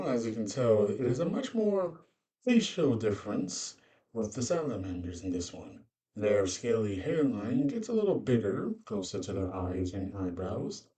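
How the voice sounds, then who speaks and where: Male performer as monster-beast narrator for fantasy lore; mellow, dark tone, conversational and rambling, slightly off-mic. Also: medium pitch, far from the mic, poor audio. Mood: explanatory. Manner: rambling, offhand asides. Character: cosmic monster beast presenting its world's species, conversational. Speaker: host introducing fantasy species.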